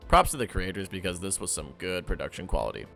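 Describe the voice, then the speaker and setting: A man's voice: low voice, sing-song, fast, creaky, a bit bright, soft background music. A man narrating a scripted recap and review with wry asides. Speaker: guest co-commentator.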